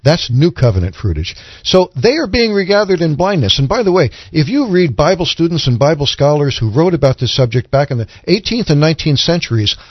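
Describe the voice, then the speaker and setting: A man co-hosting a radio Bible programme, explaining scripture in an earnest, measured teaching manner. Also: fairly high pitch, sing-song, no room sound, lo-fi sound. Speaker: radio Bible commentator and co-host. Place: radio studio.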